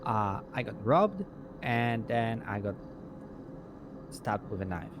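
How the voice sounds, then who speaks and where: A man chatting casually in character during online roleplay, rambling and halting, with casual swearing. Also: mid-range voice, big pitch swings. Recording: loud car engine noise behind.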